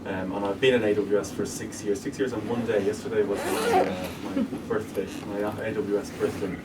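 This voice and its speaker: Low-voiced Irish man, distant room mic. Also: flat delivery, normal speed, heavy background noise. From Ireland. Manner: explanatory, businesslike, with frequent restarts. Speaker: guest speaker. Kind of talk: interview talk to a live audience. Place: event room with an audience.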